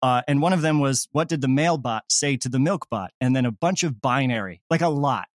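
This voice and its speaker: A man's voice: medium pitch, a little fast, dry recording, studio quality. A man speaking casually and emphatically as a comedy creator being interviewed.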